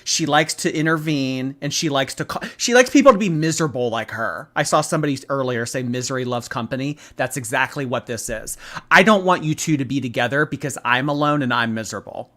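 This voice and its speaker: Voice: mid-range voice, animated, a little fast, fairly bright tone. A commentator giving casual, emphatic reactions, blunt and given to repeating phrases for effect. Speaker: host reacting to clips.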